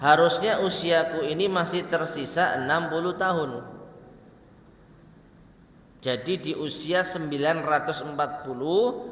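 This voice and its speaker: A man preaching at a religious study session. He recites Arabic lines slowly and then explains them in quick asides to the congregation. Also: on the high side, fairly bright tone, roomy sound, in a large hall, a little hiss or hum. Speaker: Islamic preacher.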